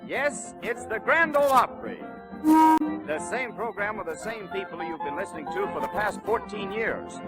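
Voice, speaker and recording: A man's voice: sits high, expressive, normal speed, over loud music, poor audio. A man announcing a live 1930s radio show from a script, with an emphatic, promotional delivery, on a vintage broadcast recording.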